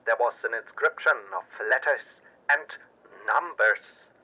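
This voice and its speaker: A man playing an earnest, melodramatic investigative radio news anchor, delivering a scripted report with solemn, drawn-out emphasis through a radio filter. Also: medium pitch, bright, forceful tone, breathy edge, full character voice. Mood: ominous, suspenseful. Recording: dull, band-limited radio sound.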